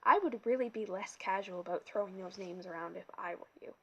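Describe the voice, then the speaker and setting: A woman's voice: medium pitch, a little fast, bright, forceful tone, slightly rough, highs cut off. A woman reading an audiobook, voicing a pudgy, impatient and scornful male camp director who drawls his contempt.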